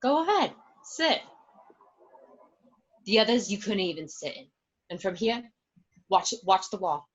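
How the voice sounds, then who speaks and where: A woman's voice: medium pitch, very animated, measured pace, pauses often, slightly rough, highs cut off. A woman playing a proud gangster's wife, boastful and showy as she shows off her home, in a radio-play read. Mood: boastful, excited. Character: Karen, proud gangster's wife showing off her new house. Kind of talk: film script read in radio-play form.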